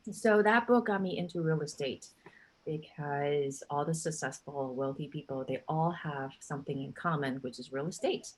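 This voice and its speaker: A woman's voice: sits low, moderate pace, a little mellow, poor audio. A middle-aged woman from Taiwan, a real estate agent, chatting casually as a guest and laughing often. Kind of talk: casual interview chat.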